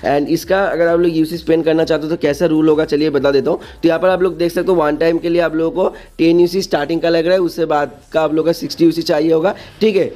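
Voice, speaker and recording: Fast-talking, mellow-voiced man explaining game events. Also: on the high side, fairly even intonation. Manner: repetitive, uses frequent check-in tags and English loanwords. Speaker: gaming video host. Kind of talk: casual explainer narration over game screens.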